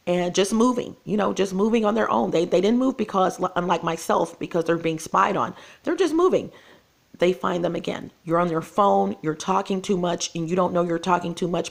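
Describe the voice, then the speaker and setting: A woman's voice: fairly low pitch, creaky. A woman, losing her voice, talking to her viewers in an earnest, repetitive casual vlog monologue. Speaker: channel host.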